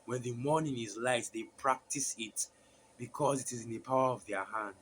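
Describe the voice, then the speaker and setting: A man with a hoarse voice from a sore throat, preaching a sermon and reading scripture in a halting way, with many fillers and restarts. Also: mid-range voice, a bit bright, slight background noise, rough recording.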